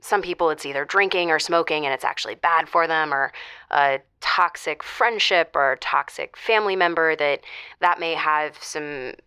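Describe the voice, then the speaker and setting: A woman's voice: deep voice, normal speed, pushed and bright, clear, resonant tone, dry recording. A woman playing a pushy caller who bluntly needles and teases a radio host. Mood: needling. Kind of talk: improvised audio drama.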